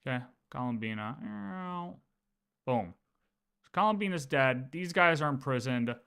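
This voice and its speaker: A man with a bright, forceful voice talks like a casual game streamer walking chat through lore. He is animated and informal, repeating himself and tossing in quick check-ins as he explains. His pitch sits in an ordinary male range. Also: smooth. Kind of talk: casual livestream lore explanation, chatting with viewers.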